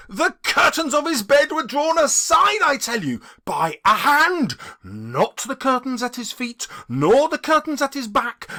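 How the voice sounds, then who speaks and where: A theatrical man reads a literary story aloud with heavy emphasis. His pitch swings widely, his pace is unhurried, and his tone is bright and forceful. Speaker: audiobook reader, teacher. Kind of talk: dramatic literary narration.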